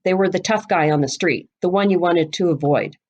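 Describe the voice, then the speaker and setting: A middle-aged woman speaking as a guest expert on a podcast, explaining things informally and deliberately, with pauses between phrases. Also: on the low side, darkish tone, slightly rough, lo-fi sound. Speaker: guest expert on mystery fiction, bookseller and writer.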